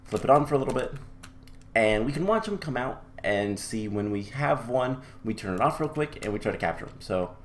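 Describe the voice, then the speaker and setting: A man giving relaxed, chatty commentary over a modded game, his voice a little off because he is coming down with a cold. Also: mid-range voice, brisk, slight background noise. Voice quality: a little off from illness. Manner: relaxed and chatty with many ums. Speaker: gameplay commentator. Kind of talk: casual let's-play commentary.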